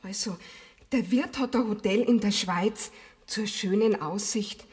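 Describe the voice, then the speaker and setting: Radio drama: sensible Bavarian married woman played by an actress, conversational, medium pitch, long reverb. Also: a little slow, a little mellow, natural voice. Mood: matter-of-fact. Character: practical, worried married woman friend, expecting a child. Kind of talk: German radio play acting.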